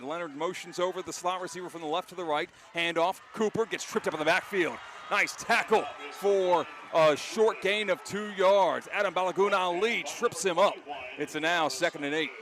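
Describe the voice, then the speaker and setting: A fairly high-voiced American man calls football live as a play-by-play announcer. His delivery is excitable and climbs toward shouting on big plays. Outdoor stadium noise sits audibly behind his voice. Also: normal speed.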